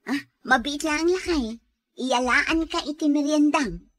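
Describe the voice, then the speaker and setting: An actress playing an affectionate, encouraging wife in an Ilocano radio drama, with a Filipino accent. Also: female voice, high-pitched, unhurried, no room sound. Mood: cheerful, encouraging.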